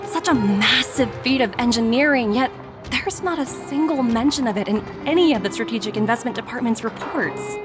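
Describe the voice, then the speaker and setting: A woman playing a poised, candid corporate executive in a video game cutscene, articulate, measured and businesslike. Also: on the high side, animated, close to an everyday voice. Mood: intrigued. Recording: music behind the voice.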